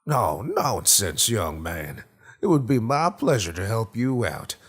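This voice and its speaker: A man's voice: fairly low pitch, sing-song, slow, husky, full character voice. Man putting on a folksy, cheery suburban gent. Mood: insistent, hospitable. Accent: Florida Yankee. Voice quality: grating. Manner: folksy, eager, talks in one breezy rush. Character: cheery middle-aged suburban man, folksy 1950s swagger.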